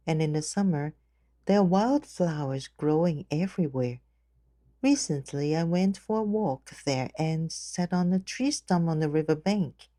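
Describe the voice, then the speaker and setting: Slow, low-voiced woman guiding meditation. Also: dry recording, studio quality. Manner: gentle, unhurried, measured. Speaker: meditation guide. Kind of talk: guided meditation narration.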